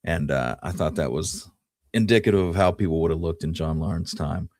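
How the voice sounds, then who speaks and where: A man's voice: deep voice, moderate pace, a little mellow, no echo, clean studio sound. A man co-hosting a podcast, talking through history in a casual, laid-back way. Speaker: podcast co-host.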